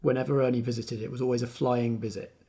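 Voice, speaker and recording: A man's voice: medium pitch, flat delivery, fast talker, mellow, slightly rough. A man narrating an improvised tabletop roleplaying scene as game master.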